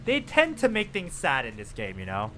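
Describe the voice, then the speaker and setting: A man chatting casually with viewers and reacting out loud while he plays a game on a live stream. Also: mid-range voice, moderate pace, a little hiss or hum. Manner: chatty, animated, lively reactions while playing.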